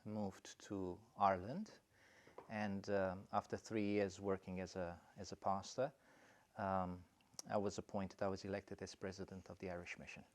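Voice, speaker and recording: A middle-aged man from Romania, a college principal answering interview questions in a thoughtful, halting way with many fillers and restarts. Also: deep voice, little pitch movement.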